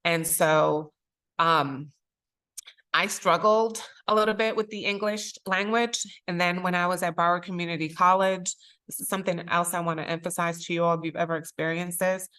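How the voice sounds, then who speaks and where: A middle-aged woman from Puerto Rico, Spanish her first language, speaks to a room of students with earnest emphasis. Her voice sits low and sounds bright and forceful. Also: fairly even intonation, moderate pace. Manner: earnest, emphatic, repeats key phrases. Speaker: circuit court judge, guest speaker. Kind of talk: motivational talk to students.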